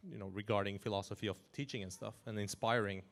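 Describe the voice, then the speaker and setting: A man in his forties from Iran who grew up in Norway, talking as a guest in an interview in an animated way, chuckling and restarting his sentences. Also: on the low side, fast. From Iran, raised in Norway. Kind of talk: casual interview talk.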